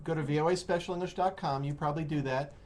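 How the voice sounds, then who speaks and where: A man with an American accent hosting a casual interview, explaining in a halting way and rephrasing as he goes. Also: a little high, steady tone, a crowd in the background. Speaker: host of an English-learning call-in program. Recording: noticeable background noise.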